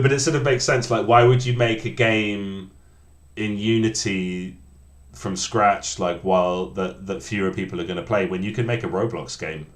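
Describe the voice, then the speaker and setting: A man's voice: deep voice, reverberant. A man in his late thirties chatting casually while coding on a livestream, rambling and restarting his sentences with a self-deprecating tone. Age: 30s. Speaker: game developer streaming.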